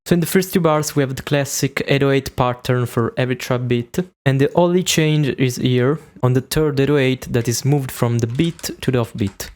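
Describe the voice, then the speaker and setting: A man's voice: medium pitch, moderate pace, hardly any pauses, darkish tone. A young man with an Italian accent, casually explaining how a beat is made in a music production tutorial. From Italy. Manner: casual, self-deprecating, explanatory. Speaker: beatmaker YouTuber.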